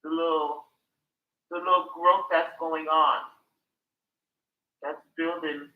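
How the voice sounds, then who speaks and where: A woman's voice: deep voice, flat delivery, slow, phone-quality sound. A woman who works as a nurse, chatting casually on a group call and repeating her words as she thinks. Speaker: participant. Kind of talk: casual chat. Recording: echoey room.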